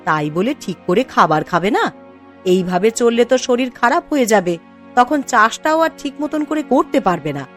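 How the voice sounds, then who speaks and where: A woman acts a village farmer's wife in a cartoon moral tale. She gently chides and coaxes, her pitch moving a lot, with music in the background. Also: lively intonation, moderate pace, natural voice. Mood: caring, chiding. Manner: fussing, coaxing. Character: caring, gently chiding young farmer's wife. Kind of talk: Bengali cartoon moral story acting.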